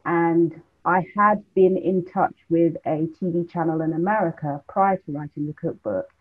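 A woman from London explains her story step by step in a measured, conversational way. Her voice comes through thin and phone-like over a video call, with a husky edge. It sounds like a webcam mic in a home room. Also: lowish voice, fairly even intonation. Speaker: interview guest, cooking show presenter.

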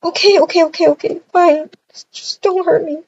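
A woman's voice: very high-pitched, steady tone, slight background noise. A woman doing a panicked, pleading captive girl. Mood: terrified. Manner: panicked, pleading, fast and loud. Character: terrified young woman held captive. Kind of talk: amateur voiced roleplay. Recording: dull lo-fi recording.

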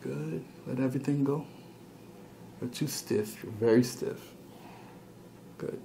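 A man's voice: mid-range voice, takes it slowly, gravelly, dark tone, slight background noise. A man working as a chiropractor, giving casual, reassuring hands-on instructions in short repeated prompts. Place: small indoor chiropractic treatment room.

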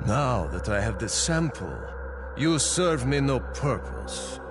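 An actor playing a cold, commanding older cult leader in a video game, imperious and disdainful. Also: male voice, medium pitch, big pitch swings, slow pace, heavy background noise. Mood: cold menace. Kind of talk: video game cutscene acting.

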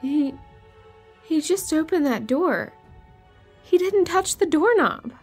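A woman's voice: very high voice, expressive, slow, soft background music, heavily acted. A woman playing an anxious, pregnant young wife in a horror audio drama, hesitant and pleading. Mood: startled.

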